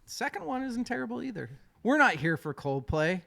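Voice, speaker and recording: A man's voice: extremely high pitch, big pitch swings, normal speed, creaky, sharp tone. A man in his thirties hosting a music podcast, joking and swearing freely in casual chat. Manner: profane, joking. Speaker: podcast host.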